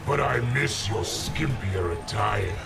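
A man playing a brutish, domineering warlord emperor in a fighting game, with a gravelly, booming, commanding delivery. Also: animated, takes it slowly, heavily acted. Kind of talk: fighting game character dialogue. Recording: game audio under loud music.

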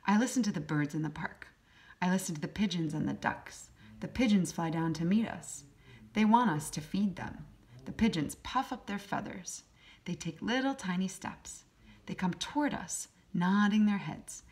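A woman reading a children's picture book aloud as a teacher, in simple, deliberate short phrases. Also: lowish voice, lively intonation, a little mellow. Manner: acts out sound effects. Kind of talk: children's storybook read-aloud.

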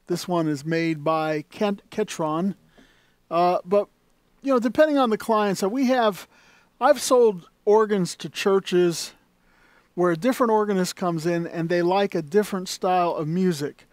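A man's voice: high voice, a little slow, no echo. A man demonstrating an organ in a shop, talking casually with many fillers and restarts. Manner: rambling, full of fillers and restarts. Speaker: organ dealer demonstrating an instrument. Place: indoors at an organ console.

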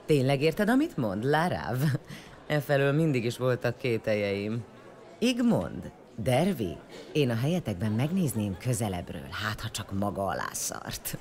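Vengeful, swooping huntress. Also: female voice, extremely low pitch, sing-song, a little mellow. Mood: scornful. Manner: sarcastic, threatening. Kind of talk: audio drama acting.

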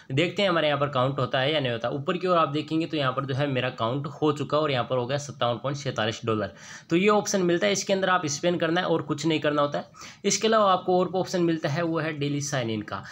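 A man narrating a screen-recorded app walkthrough, explaining step by step with many repeated filler phrases. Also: fairly high pitch. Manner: repetitive filler-heavy explaining, steady. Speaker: app reviewer.

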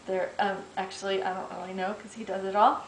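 A woman's voice: medium pitch, little pitch movement, fairly quick. A middle-aged woman hosting a casual home cooking demonstration. She is chatty and homey and thinks aloud with fillers while working the dough. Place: large home kitchen. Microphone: camera mic, a few steps away. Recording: echoey kitchen with clear background noise.

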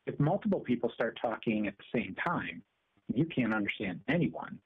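Muffled speakerphone, animated higher-pitched man. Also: normal speed, slightly rough. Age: middle-aged. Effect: speakerphone sound. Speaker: presenter. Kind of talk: scripted product demonstration. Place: small meeting room, indoors.

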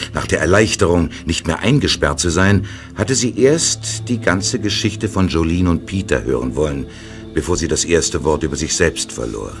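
A man narrating a radio play in a calm, measured storytelling manner. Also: low-pitched.

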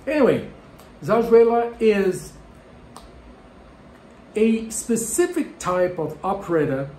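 A middle-aged Brazilian man with a Portuguese accent talks straight to a camera held close, animated and joking at his own expense. His voice sits a little high for a man, in a room that rings back noticeably under a faint hum of ambience. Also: roomy sound, low-quality recording. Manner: animated, gesturing, self-deprecating asides. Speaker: music educator. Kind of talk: explainer video to camera. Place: home room with bookshelves. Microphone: camera at arm's length.

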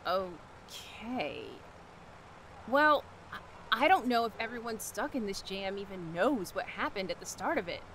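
A woman playing a brisk, no-nonsense animal expert in an audio drama. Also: medium pitch, very animated, a bit bright, slight background noise, rough recording. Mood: puzzled.

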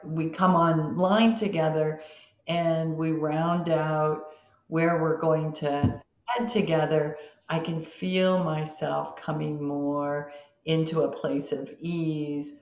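An older woman hosting a podcast conversation in a measured way, pausing often. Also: low voice, darkish tone. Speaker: podcast host. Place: home room with bookshelves, video call. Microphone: computer mic on a video call. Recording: dull, echoey video-call sound.

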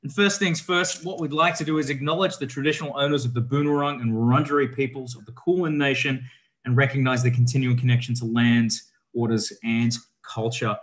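A young man hosting an online event as an educator, upbeat and clear. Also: medium pitch, fairly even intonation, a little fast. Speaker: educator and host. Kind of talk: webinar hosting. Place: room on video call. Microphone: video call audio.

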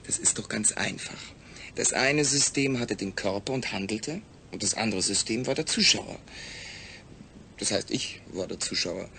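A man acting in a German audio drama as a calm, rational astronaut, speaking in a measured, explanatory way. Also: on the low side, vocal fry, a little hiss or hum, heavily acted. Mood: earnest, explaining.

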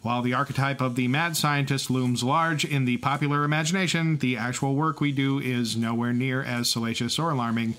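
A man's voice: medium pitch, fairly even intonation, brisk, few pauses, a bit bright. A man co-hosting a podcast in semi-scripted banter, playful and wry. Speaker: podcast co-host.

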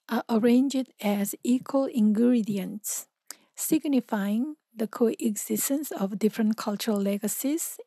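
Korean-accented woman, breathy and mellow. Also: on the high side, no echo, low-quality recording. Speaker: exhibiting artist. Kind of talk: reading a prepared artist statement.

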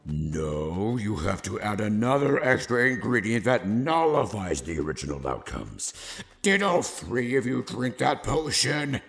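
A man voicing a stern, commanding goat villain with a harsh, booming, gravelly voice in a dramatized story reading. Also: big pitch swings, measured pace, fairly bright tone, heavily acted. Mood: stern, scornful. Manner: harsh, threatening, theatrical. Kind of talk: dramatized fan fiction reading. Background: background music.

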